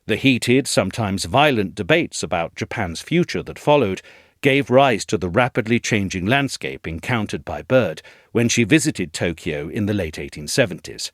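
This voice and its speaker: A man reading a scripted essay as narrator, in a measured, articulate storytelling delivery, recorded close up. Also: fairly low pitch, animated, no room sound.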